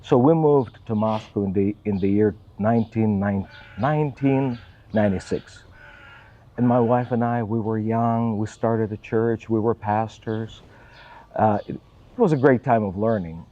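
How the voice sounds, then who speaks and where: A man's voice: on the low side, a little slow, highs cut off. A middle-aged man from Russia speaking English with a Russian accent, a church assistant answering interview questions earnestly and with careful, self-taught phrasing.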